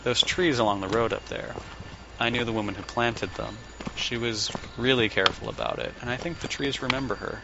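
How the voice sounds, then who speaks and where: A man's voice: mid-range voice, a little fast, creaky, natural voice. A man acting as a blunt, sardonic therapist with a dry, probing way of talking in an audio drama. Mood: reflective. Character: blunt, sardonic, unorthodox therapist man, older than he looks. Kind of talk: audio drama dialogue and dictated case notes. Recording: muffled with loud background noise.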